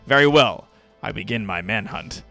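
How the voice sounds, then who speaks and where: A man's voice: fairly low pitch, lively intonation, normal speed, vocal fry, sharp tone, faint music behind, voice pushed down, exaggerated voice. A man voicing a large, self-serious, hapless man-hunter in a pompous, declamatory way for a fan fiction reading. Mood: grandly solemn.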